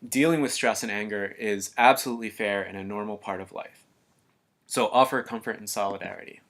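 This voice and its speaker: Calm man narrating an explainer. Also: lowish voice, a little fast. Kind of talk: scripted voice-over narration.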